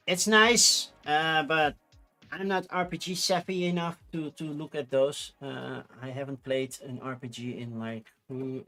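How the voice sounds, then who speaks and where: A man's voice: a little high, sharp tone. A man with a Dutch accent who speaks English as a second language, chatting casually on a livestream, rambling with fillers and restarts. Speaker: streamer.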